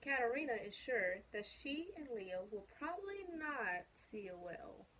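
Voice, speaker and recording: A woman's voice: on the high side, very animated, normal speed, a bit bright, slight background noise, poor audio. A woman reading a children's picture book aloud casually, chatting to young listeners with questions and asides. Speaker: storybook reader.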